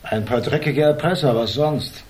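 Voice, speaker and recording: A man's voice: mid-range voice, moderate pace, darkish tone, lightly acted. A man acting in a German crime radio drama as a menacing, bullheaded political boss, blunt, sneering and impatient.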